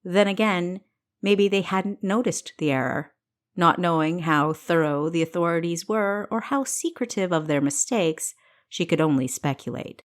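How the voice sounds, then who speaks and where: A woman's voice: fairly low pitch, moderate pace. A woman reading scripted fiction as the narrator of her own audiobook.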